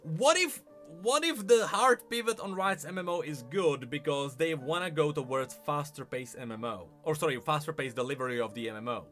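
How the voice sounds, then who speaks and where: A man's voice: on the high side, moderate pace, few pauses, a bit bright. A man giving casual live-stream commentary on gaming news, thinking aloud with rhetorical questions and emphatic repeats. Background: background music.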